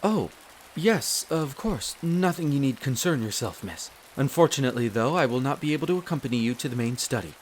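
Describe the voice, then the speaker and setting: A man's voice: medium pitch, animated, brisk, slight background noise, close to an everyday voice. A man playing a courteous, apologetic wolf butler with a formal, deferential way of speaking in a roleplay audio drama. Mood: apologetic, reassuring. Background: rain.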